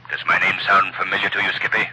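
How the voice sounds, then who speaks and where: An actor playing a calm, commanding male crime-fighter in a 1940s radio drama, with a smooth, assured, softly ominous manner. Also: sits low, quick pace, heavily acted, low-quality recording. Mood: menacing.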